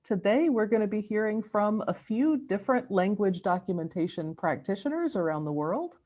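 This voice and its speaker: A woman's voice: medium pitch, animated, normal speed, dark tone, highs cut off. A woman hosting an online webinar and introducing the presenters in a friendly way, with occasional fillers. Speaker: webinar host. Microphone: video call.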